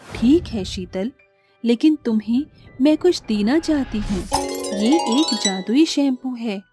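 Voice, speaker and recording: A woman voicing a kind, grateful doll fairy in an animated moral story, gentle and measured. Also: on the high side, music in the background.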